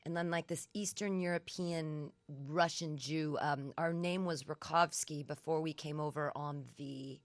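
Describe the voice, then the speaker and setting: Lady comic riffing on herself, kinda low voice, giggly. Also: steady tone, measured pace, hardly any pauses. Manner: playful, self-mocking, giggly. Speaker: comedian guest. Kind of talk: casual comedic banter.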